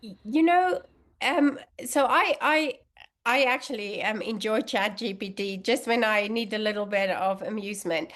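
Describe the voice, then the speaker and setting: A woman's voice: medium pitch, moderate pace, fairly bright tone. A middle-aged woman whose first language is Afrikaans, talking casually as an interview guest with many fillers and restarts. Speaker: guest allergy researcher.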